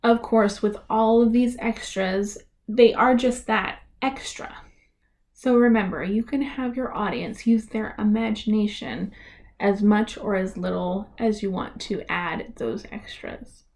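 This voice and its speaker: A woman giving an instructional presentation for families, speaking with clear, deliberate enunciation. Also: mid-range voice, steady tone, darkish tone. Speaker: presenter of a library reader's theater tutorial.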